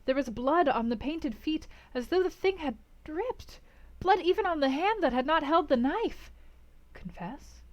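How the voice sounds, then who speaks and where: A woman with a fairly high voice reads classic fiction aloud in a dramatic audiobook style. Her delivery is theatrical and emphatic, using pauses for weight and moving between hushed and intense phrasing. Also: animated, lo-fi sound. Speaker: host of a read-aloud book club stream.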